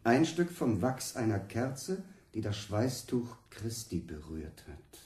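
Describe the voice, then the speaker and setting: A man's voice: lowish voice, big pitch swings, slow, darkish tone. A man in a radio play acting a solemn church official who recites an inventory with ceremonial deliberation. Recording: roomy, echoing church-like sound.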